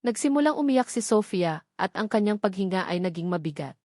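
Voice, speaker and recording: A woman narrates a sentimental drama in a scripted storytelling style. Her pitch sits in the middle range for a woman. The sound is dry and close, with no room around it. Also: expressive, fairly quick, warm tone, dry recording.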